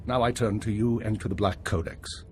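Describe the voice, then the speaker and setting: A man playing a weary, principled older intelligence minister in a video game, speaking in a measured, formal way. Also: on the low side, fairly even intonation, darkish tone, soft background music, close to an everyday voice. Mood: grave, candid. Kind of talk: scripted video game dialogue.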